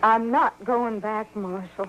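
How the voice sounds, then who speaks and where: An American woman playing a desperate but plucky runaway dance hall girl in an old-time radio western, with a halting, pleading, dramatic delivery. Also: fairly high pitch, steady tone, a bit bright. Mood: pleading, bitter. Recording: slightly noisy old radio broadcast recording.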